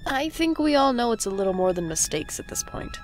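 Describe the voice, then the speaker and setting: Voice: medium pitch, sing-song, brisk, natural voice. An actor playing a wry, self-deprecating young friend who jokes along in an audio drama. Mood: wistful. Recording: music under the voice.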